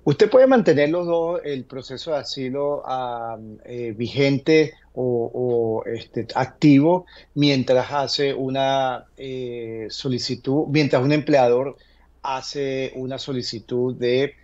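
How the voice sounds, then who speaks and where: Halting man advising, slightly dull sound. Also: mid-range voice, a little mellow. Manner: hesitant, halting explanations, tags statements with "verdad". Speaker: immigration lawyer answering viewer questions. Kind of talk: conversational legal advice.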